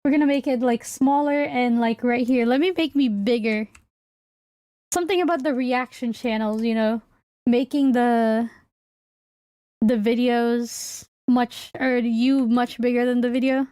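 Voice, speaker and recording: A woman's voice: fairly high pitch, fairly even intonation, measured pace, warm tone, no room sound, studio quality. A woman livestreamer chatting casually with viewers, thinking aloud in a hesitant, rambling way. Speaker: livestreamer and VTuber host.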